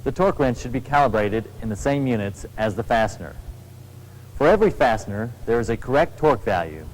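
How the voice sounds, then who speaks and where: An American man giving scripted training film narration in a formal, deliberate, matter-of-fact way. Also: medium pitch, animated, rough recording.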